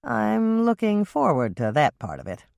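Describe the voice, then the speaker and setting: A woman reading an audiobook and voicing a determined woman sleuth who talks calmly, firmly and wryly. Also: mid-range voice, a little slow, gravelly, no room sound, muffled recording, voice pushed up, full character voice.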